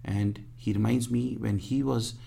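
A man, being interviewed and speaking haltingly with many restarts; English is his third language. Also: medium pitch, steady tone, vocal fry, mellow, husky. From Pakistan. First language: Punjabi or other regional language; Urdu second, English third. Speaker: guest. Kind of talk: casual interview.

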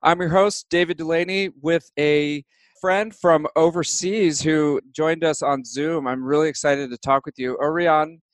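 Male podcast host, somewhat high voice, upbeat and welcoming, dry sound. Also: steady tone, a little slow, dry recording. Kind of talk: podcast interview hosting.

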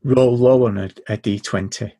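A man hosting a podcast, talking in a dry, measured, deadpan way and reading game rules aloud. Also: mid-range voice, no echo. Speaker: podcast host.

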